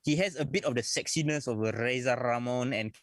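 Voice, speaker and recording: A man's voice: mid-range voice, a little fast, dry recording, studio quality, faint music behind. A man, co-hosting a casual wrestling podcast, enthusiastic and calling his co-host bro. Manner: enthusiastic, says bro a lot.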